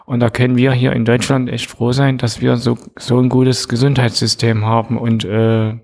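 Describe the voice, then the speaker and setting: Guy hosting a podcast, gravelly voice, lots of uh and restarts. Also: mid-range voice, level tone, darkish tone. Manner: halting, many fillers and restarts. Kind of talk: casual discussion.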